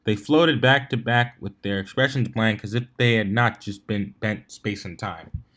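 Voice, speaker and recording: A man's voice: fairly low pitch, normal speed, a bit bright. A man narrating fan fiction in a storybook reading style.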